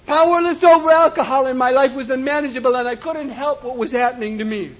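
Impassioned high-voiced man, lo-fi tape. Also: steady tone, fast. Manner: impassioned, often shouting, rhythmic repetition. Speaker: recovery meeting speaker. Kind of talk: personal testimony speech. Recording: dull lo-fi recording.

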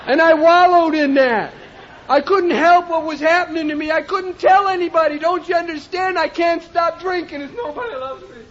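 Impassioned high-voiced man, lo-fi tape. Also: normal speed, some chatter behind, a little hiss or hum. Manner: impassioned, often shouting, rhythmic repetition. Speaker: recovery meeting speaker. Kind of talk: personal testimony speech. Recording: dull lo-fi recording.

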